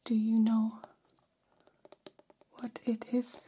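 A woman voicing a gentle, curious alien in an ASMR roleplay, whispering with deliberate, stilted formal phrasing. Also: fairly high pitch, completely flat delivery, husky, exaggerated voice.

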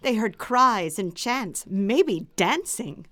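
A woman's voice: medium pitch, very animated, slow pace, fairly bright tone. A woman playing an indignant, proper lady in a radio drama, insistent in manner. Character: indignant, proper lady worried about her cousin.

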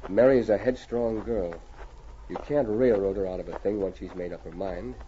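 Man playing a mellow, low-voiced Mountie hero. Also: fairly even intonation, natural voice. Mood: calm, reassuring. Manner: measured, courteous. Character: steady, courteous, friendly Mountie sergeant hero. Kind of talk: 1940s radio acting. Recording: dull, hissy old radio recording.

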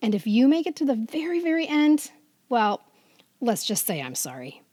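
A woman's voice: on the high side, big pitch swings, moderate pace, mellow, husky, dry recording. An American woman in her fifties co-hosting a podcast, telling stories in a casual, chatty style. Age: 50s. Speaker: podcast co-host. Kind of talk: casual podcast chat and storytelling.

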